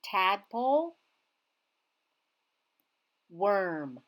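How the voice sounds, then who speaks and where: A woman reading a children's science book aloud in a teacherly way, emphasizing key words with simple, expressive phrasing. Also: mid-range voice, drawn-out words. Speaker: children's read-aloud reader.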